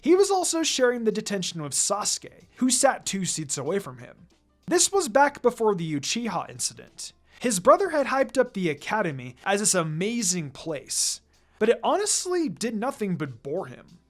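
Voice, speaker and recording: A narrator reading a story aloud in an expressive, dramatic audiobook style. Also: mid-range voice, moderate pace. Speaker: fan fiction reader, narrator. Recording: music behind the voice. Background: background music.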